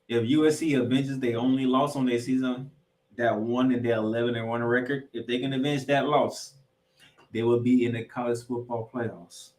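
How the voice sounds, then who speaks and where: A man's voice: medium pitch, steady tone, normal speed. A man hosting a sports podcast, giving football preview commentary in an announcer-like way. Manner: announcer-like, addresses listeners as ladies and gentlemen. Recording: roomy echo, distant from mic.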